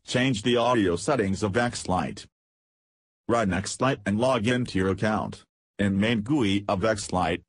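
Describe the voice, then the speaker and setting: Low, husky male tutorial narrator. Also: no echo. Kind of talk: scripted instructional narration.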